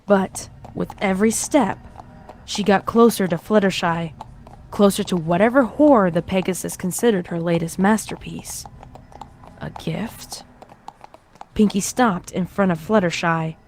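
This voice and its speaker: A woman's voice: lowish voice, measured pace, a little mellow, slight background noise. A woman reading a horror story as its narrator, in a dramatic scripted style. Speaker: fan fiction narrator. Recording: music behind the reading.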